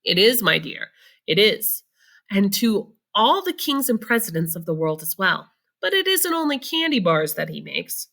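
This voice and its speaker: A woman voicing a very old, excited grandfather who marvels eagerly in a children's storybook. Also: medium pitch, moderate pace, gravelly, exaggerated voice. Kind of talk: children's storybook read-aloud.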